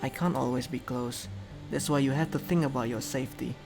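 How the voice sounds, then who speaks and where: A man's voice: mid-range voice, fairly even intonation, brisk, vocal fry, natural voice. Man as bossy young woman, visual novel. Blunt, frank, grudgingly kind, music underneath.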